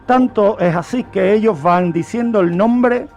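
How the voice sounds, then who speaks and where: A man's voice: high voice, unhurried, darkish tone, faint music behind. A man from Spain with a Spanish accent presenting a true-crime radio segment in a didactic way, laying out a case and putting questions to listeners. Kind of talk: semi-scripted explanation of a crime case.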